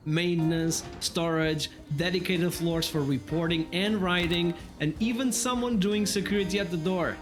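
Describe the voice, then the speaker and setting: A man reviewing a video game in a scripted, comedic narration with a wry, tongue-in-cheek manner. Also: a little high. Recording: music behind the voice. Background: background music.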